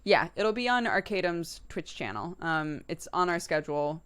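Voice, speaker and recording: A woman's voice: on the low side, animated, moderate pace, a bit bright, clear, resonant tone. A woman in her early thirties hosting a livestream, chatting casually and informally with viewers in a conversational way. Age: early 30s. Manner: informal, conversational, laughs easily. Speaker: livestream host. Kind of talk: casual chat with live viewers.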